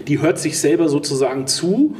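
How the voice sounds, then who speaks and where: A man, a sommelier, chatting in an interview in a relaxed way, pausing often with fillers as he thinks aloud. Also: fairly high pitch, slight background noise. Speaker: sommelier guest. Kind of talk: casual interview talk. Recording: distant mic with faint ambience.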